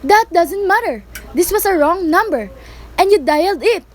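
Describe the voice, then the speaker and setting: A woman's voice: very high-pitched, expressive, moderate pace. A teenage girl in an amateur radio play, playing an anxious, insistent, sickly woman alone at home. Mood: exasperated, demanding. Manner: agitated, insistent, pleading. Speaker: student actor.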